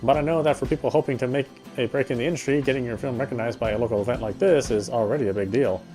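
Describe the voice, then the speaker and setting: A man's voice: mid-range voice, racing, a little mellow, faint music behind. A man reading visual novel narration aloud expressively, with casual asides.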